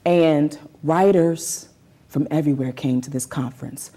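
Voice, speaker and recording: A woman with a low-pitched, relaxed and mellow voice talks as a guest in an interview. She tells her own story conversationally and earnestly. She leans on key phrases by repeating them. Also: a little slow.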